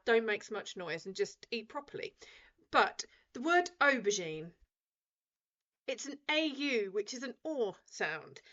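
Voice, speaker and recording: A woman radio host in her forties, chatting casually and ranting with animated, laughing energy. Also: fairly high pitch, dull audio. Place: radio studio.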